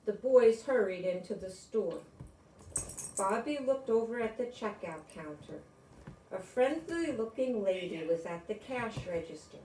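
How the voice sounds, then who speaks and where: Storybook narrator: older woman, unhurried children's reading, medium pitch, echoey room. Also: expressive, dark tone, distant mic, a little hiss or hum. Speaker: storybook reader.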